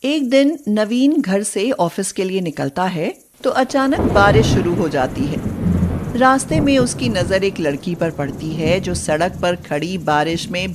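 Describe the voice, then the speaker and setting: A woman narrates a storybook tale at a slow, measured pace. Her pitch sits in the middle of the female range, and the delivery is scripted storytelling. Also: very noisy.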